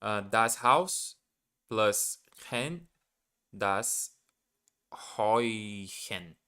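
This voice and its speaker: Male language learner, native Portuguese speaker, hesitant and self-correcting, slow delivery, smooth clear voice. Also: on the low side, sharp tone. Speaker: language learner streaming live. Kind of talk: thinking aloud while studying.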